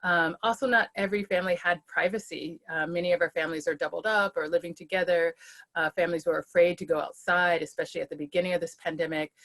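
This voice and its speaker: Woman, slightly low voice, earnest, frequent ums, webinar video call. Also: fairly even intonation, fast, fairly bright tone. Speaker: school-based behavioral health leader. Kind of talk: webinar panel talk.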